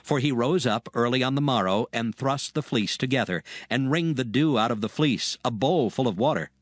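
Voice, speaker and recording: A man narrating scripture from a script in a solemn, measured storytelling manner. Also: medium pitch, dry recording, clean studio sound.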